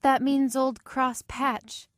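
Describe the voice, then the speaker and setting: A woman's voice: high-pitched, flat delivery, takes it slowly, no echo, studio quality. A woman acting a proud, blunt, stubborn teenage daughter in an audio drama. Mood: reluctant. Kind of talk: amateur audio drama of a classic novel.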